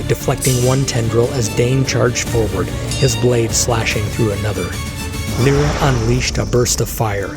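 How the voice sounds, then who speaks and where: A man reading scripted, dramatic fantasy narration as the storyteller of an audio drama. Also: mid-range voice. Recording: loud dramatic music behind.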